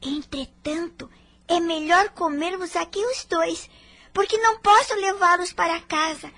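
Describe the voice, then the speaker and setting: A woman's voice: extremely high pitch, measured pace, bright, forceful tone, exaggerated voice. A woman with a Brazilian accent playing a tender, timid and fragile poor orphan girl in a radio drama. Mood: shy delight. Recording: music under the voice.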